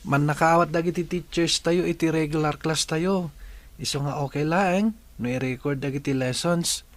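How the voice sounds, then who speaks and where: Man playing an earnest student, lightly high voice. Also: steady tone, moderate pace, no echo, lightly acted. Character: earnest young male student. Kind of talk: audio drama acting.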